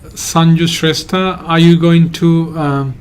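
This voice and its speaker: A man from Sri Lanka, a migration agent hosting a live Q&A and answering viewer questions in a halting, hedging way. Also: fairly high pitch, fairly even intonation, clear, resonant tone. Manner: halting, hedges often, reads out viewer questions.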